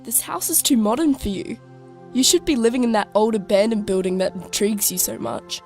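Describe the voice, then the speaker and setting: A woman's voice: fairly high pitch, brisk, a little mellow, faint music behind, lightly acted. A young woman playing a worldly, teasing, sarcastic teenage girl in an audio drama. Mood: exasperated, teasing. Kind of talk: scripted audio drama dialogue.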